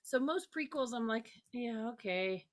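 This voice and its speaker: A woman chatting casually as a panelist, expressive and emphatic, acting out little quoted reactions. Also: fairly high pitch, a little slow. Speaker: podcast panelist. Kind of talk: casual chat.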